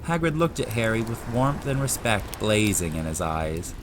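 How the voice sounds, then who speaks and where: A man's voice: fairly low pitch, big pitch swings, normal speed, background noise. A man narrating a story in a dramatic audiobook style, with ambient sound effects behind him.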